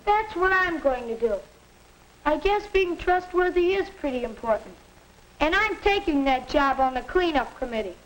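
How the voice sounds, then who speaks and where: A man's voice: very high-pitched, lively intonation, normal speed, a bit bright. A young male actor playing an earnest schoolboy son in a 1950s educational film, plain-spoken, on a crackly old soundtrack. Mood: resolved.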